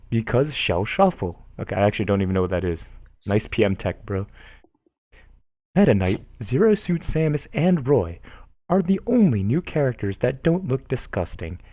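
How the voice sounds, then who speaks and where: A man's voice: mid-range voice, mellow, dry recording. A young man reading online posts aloud to viewers, with amused, chuckling asides in between. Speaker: streamer reading forum posts.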